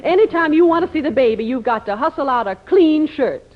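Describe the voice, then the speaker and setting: A prim, bossy American frontier woman for 1950s radio. She speaks fairly high with animated swings and a crisp, commanding manner. The recording is slightly muffled. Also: brisk, a loud crowd. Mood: stern, commanding.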